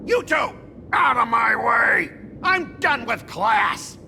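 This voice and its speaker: A man's voice: very high voice, big pitch swings, takes it slowly, vocal fry, pushed and bright, shouting, a little hiss or hum, exaggerated voice. A young man voicing a hot-headed, bitter student rival in an anime-style video game, melodramatic and indignant. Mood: impatient. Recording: music behind the voice. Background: game music.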